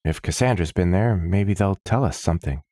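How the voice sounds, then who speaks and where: A man's voice: low-pitched, fairly quick, dark tone, no room sound, studio quality. A man voicing a terse, concerned male adventurer in a fantasy story. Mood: hopeful. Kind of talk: fantasy audiobook character.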